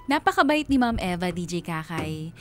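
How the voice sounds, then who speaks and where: A woman's voice: on the low side, sing-song, normal speed, fairly bright tone. Earnest Filipino single mother, music underneath. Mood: reflective. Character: struggling, devoted single mother telling her life story. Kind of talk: scripted first-person radio drama narration.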